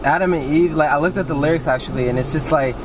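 A raspy-voiced man in his twenties chatting casually about songs as a co-host, in a laid-back, slangy, upbeat way, recorded by a dashboard camera inside a car. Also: a little high, fast, noisy background. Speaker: YouTube co-host. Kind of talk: casual chat reviewing songs. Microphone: dashboard camera mic. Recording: loud music playing under voice. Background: loud hip hop music from car speakers.

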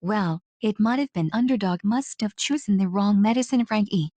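A woman's voice: mid-range voice, normal speed, dark tone, no echo, lightly acted. A woman playing a sympathetic, plain-spoken female friend in an amateur cartoon parody.

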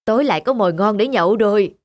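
A woman voicing a scheming, grumbling male farmer in an animated folk tale, given to exclamations. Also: mid-range voice, fast talker, lightly acted.

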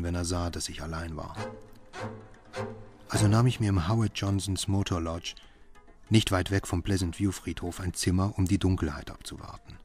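Brooding middle-aged father male actor, horror radio play. Low voice, intense and introspective, faint music underneath. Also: close to an everyday voice. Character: brooding, obsessive father and doctor in a horror story. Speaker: narrator and lead character. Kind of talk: radio horror drama, dialogue and first-person narration.

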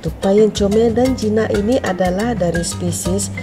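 A woman with a Malaysian accent narrating a vlog for children in a deliberate, simply explanatory manner. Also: medium pitch, music in the background. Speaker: vlogger and narrator. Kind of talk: voice-over narration of a wildlife park visit for children.

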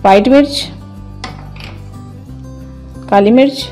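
Woman talking you through a recipe in her kitchen, mellow low-key voice, soft music behind. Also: slow pace. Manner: calm, methodical, names each step as she works. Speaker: cooking channel host. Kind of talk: step-by-step cooking instructions. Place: home kitchen.

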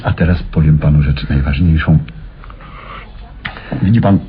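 A man acting in a vintage radio play as an eccentric, excitable chemist who rambles with melancholy, halting and then exclaiming theatrically. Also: rumbling low, a little hiss or hum. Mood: mournful, confiding. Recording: muffled old radio recording with music.

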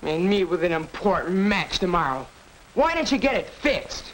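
An actor playing a brash, grumbling American teenage son in a 1940s educational film, blunt and clipped. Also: male voice, high voice, very animated, normal speed. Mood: indignant. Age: young adult. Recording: noisy, crackly 1940s film soundtrack.

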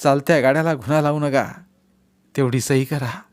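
A man's voice: mid-range voice, fairly even intonation, moderate pace, no echo, lightly acted. A man voicing a frail villager nearly a hundred years old who pleads with a stubborn elder. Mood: imploring. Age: older. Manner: imploring.

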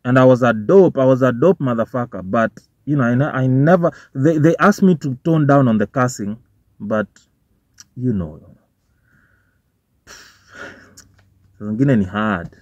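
A man's voice: mid-range voice, measured pace, pauses often, a little mellow, no echo. A young Kenyan man with a Kenyan accent giving casual, profane commentary to camera from a parked car, mixing English with Swahili slang. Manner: informal, swearing, switching between English and Swahili slang. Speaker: vlogger. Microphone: phone or camera on the dashboard. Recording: dull, dry car recording.

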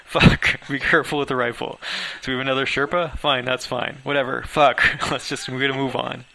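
A bossy expedition leader from an adventure story, a woman voiced by a male reader. The delivery is curt, commanding and forceful, with a gravelly edge. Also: medium pitch, flat delivery, fairly quick, a little hiss or hum, low-quality recording. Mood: irritated, curt.

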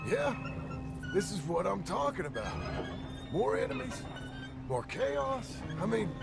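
Game role: jittery young outlaw, high voice with fry, big swings, loud score behind. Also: male voice, big pitch swings, unhurried, over loud music, heavily acted. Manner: nervous and earnest. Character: anxious young male outlaw, family man. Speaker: outlaw gang member.

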